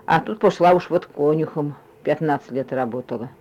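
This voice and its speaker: A woman's voice: low voice, darkish tone. Hesitant old village woman, lo-fi. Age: older. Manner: shy and hesitant, full of sighs and short halting answers. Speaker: interviewee, former stable hand. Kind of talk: hesitant spoken memories. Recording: lo-fi field recording.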